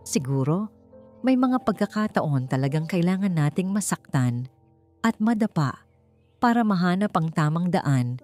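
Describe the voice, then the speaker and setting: A gentle, affectionate young wife and mother , as a woman storyteller plays her, speaks in a romance audio drama style. Soft music sits underneath. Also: lowish voice, sing-song, a little slow.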